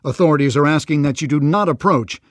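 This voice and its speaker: Casting: male actor as game news anchor, higher-pitched, forceful, polished bulletin read, dry studio sound. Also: fast, no echo, natural voice. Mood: brisk, serious. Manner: polished, emphatic newsreader delivery. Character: brisk male city news anchor reporting crime. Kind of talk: scripted news broadcast.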